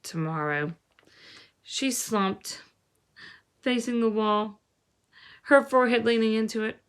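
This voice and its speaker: A woman storyteller reading a children's novel aloud in an expressive style. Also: fairly high pitch, a little slow, clear, resonant tone. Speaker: reader, teacher-like storyteller.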